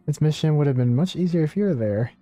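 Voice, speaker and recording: Mellow-voiced male game streamer. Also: a little high, lively intonation, fast. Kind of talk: casual live gameplay commentary and reading dialogue choices aloud. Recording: faint game music behind.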